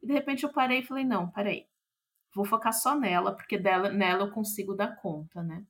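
A woman's voice: medium pitch, fairly quick. A woman with a Brazilian accent speaking reflectively as an interview guest, searching for her words and trailing off.

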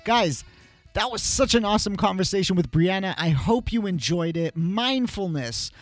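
Man, high-pitched voice, effusive radio host, conversational delivery, dry close sound. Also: animated, normal speed, no room sound, soft background music. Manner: effusive, addresses listeners directly. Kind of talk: upbeat conversational radio hosting.

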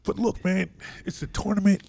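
Deep voiced philly guy hosting a sports podcast, chill, keeps restarting words, dry close sound. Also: moderate pace, vocal fry, slightly rough, no room sound. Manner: relaxed, repeats words, lots of restarts. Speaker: podcast host. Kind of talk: casual sports chat. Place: on location in a room.

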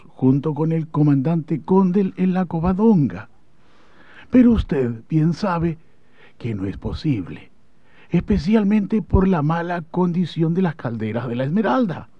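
An actor playing a grave, courteous Chilean naval admiral in a formal, declamatory historical radio drama. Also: male voice, fairly high pitch, no room sound, heavily acted. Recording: dull old radio drama recording.

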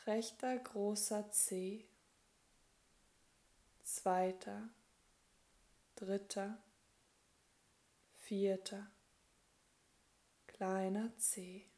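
A woman leading a guided yoga relaxation as instructor, speaking calmly and soothingly in short phrases with long pauses. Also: mid-range voice.

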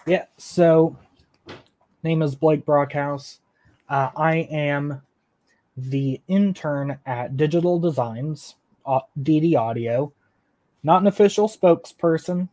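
A man's voice: on the high side, slow, a little mellow. Hesitant young male guest. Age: young adult. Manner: hesitant, filler-heavy, laughing at himself. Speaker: guest, engineering intern. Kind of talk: casual interview answers.

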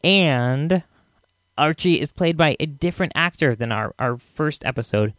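A man hosting a podcast with a casual, halting, rambling introduction. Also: a little high, lively intonation, fairly bright tone, no room sound, clean studio sound. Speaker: podcast host.

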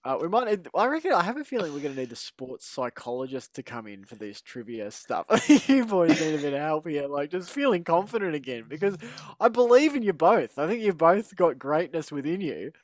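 A man's voice: fairly high pitch, very animated, fairly quick, hardly any pauses, no echo. Laughing man hosting a podcast. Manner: rambling, laughing, teasing. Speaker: podcast host. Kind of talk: casual chat.

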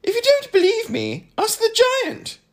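A man's voice: extremely high pitch, wildly swooping pitch, measured pace, pitched above the performer's own voice, exaggerated voice. A man voicing an airy young boy who talks in riddles with a breezy, know-it-all manner. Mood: smug, airy.

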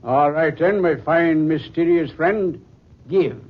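Tough wisecracking policeman, 1940s radio drama. Husky, bossy, suspicious; muffled vintage sound. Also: on the high side, slow pace. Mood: skeptical. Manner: slangy, blunt, bossy. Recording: dull old 1940s radio recording.

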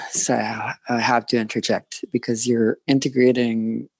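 A call facilitator responding in a slow, measured, affirming way on a video call. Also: mid-range voice, flat delivery, darkish tone, breathy edge, no echo, poor audio.

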